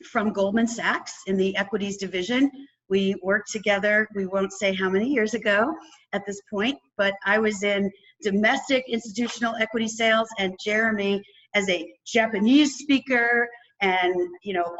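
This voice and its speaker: An upbeat woman hosting an interview podcast. She talks in a lively, chatty way and laughs easily between her sentences. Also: medium pitch, rough recording. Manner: energetic, chatty, laughs often. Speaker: podcast host.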